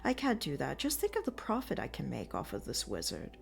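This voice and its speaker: A woman's voice: deep voice, huge pitch swings, fairly quick, vocal fry, a little hiss or hum, heavily acted. A woman voicing a sly, cheerful peasant man who grumbles for show while he bargains. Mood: bargaining. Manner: scheming, mock-complaining.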